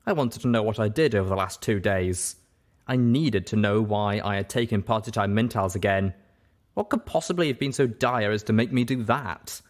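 A man narrating a story in an expressive audiobook style. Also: fairly low pitch, normal speed.

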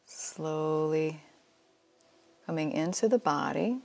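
A woman teaching yoga nidra, leading a guided relaxation in a soothing, unhurried, gently inviting way. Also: deep voice, vocal fry, soft background music. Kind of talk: guided meditation.

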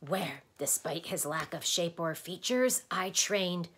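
A woman's voice: lowish voice, lively intonation, a little slow, vocal fry, husky, exaggerated voice. A woman voicing a blustering, easily exasperated male doctor in a storybook, lecturing and quizzing emphatically. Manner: emphatic, questioning, declamatory.

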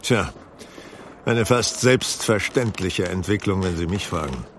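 An actor playing a composed, wry detective in an audio drama, explaining things in a measured, articulate way with dry irony. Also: male voice, low voice, lively intonation, a bit bright, slight background noise. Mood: measured, explanatory. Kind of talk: German audio drama acting.

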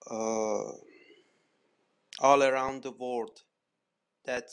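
A man with an Iranian accent giving a formal spoken message, halting and deliberate with long pauses. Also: medium pitch, flat delivery, smooth. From Iran. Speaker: voicemail caller. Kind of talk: formal spoken greeting and declaration.

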